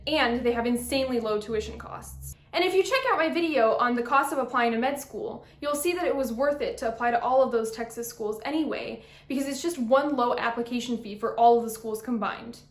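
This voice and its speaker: A woman's voice: high-pitched, fairly quick, hardly any pauses, echoey room. A young woman from Brazil giving scripted advice in a vlog, upbeat with playful asides. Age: young adult. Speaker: vlogger.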